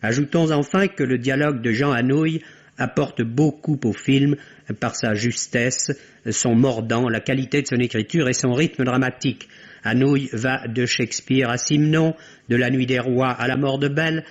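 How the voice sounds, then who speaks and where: A man reads a film review as a radio critic. His phrasing is formal and literary, his pitch sits in an ordinary middle range. The sound is dull and lo-fi, like a vintage radio broadcast. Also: normal speed. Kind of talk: scripted film review commentary.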